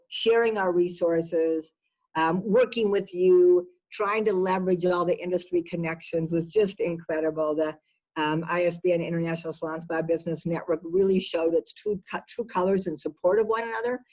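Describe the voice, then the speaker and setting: Lower-voiced woman executive in an interview. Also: fairly even intonation, moderate pace, a little mellow, rough recording. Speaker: business executive being interviewed. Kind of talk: conversational interview answers.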